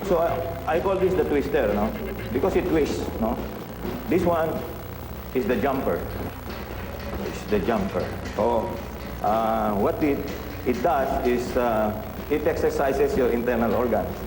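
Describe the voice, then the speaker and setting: A man's voice: medium pitch, measured pace, long pauses, reverberant, in a large hall, over music, poor audio. A man with a Filipino accent demonstrating his invention, explaining with many fillers. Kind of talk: product demonstration.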